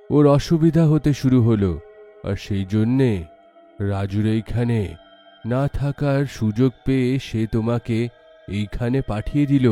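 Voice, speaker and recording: A man voicing a solemn god who grants vengeance in a story, speaking in halting, commanding phrases. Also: medium pitch, mellow, lightly acted.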